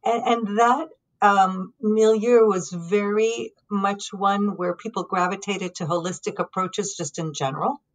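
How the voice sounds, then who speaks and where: A middle-aged woman from Lebanon, a podcast guest, telling her story calmly and reflectively with frequent pauses and ums. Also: medium pitch, rough recording. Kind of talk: conversational storytelling.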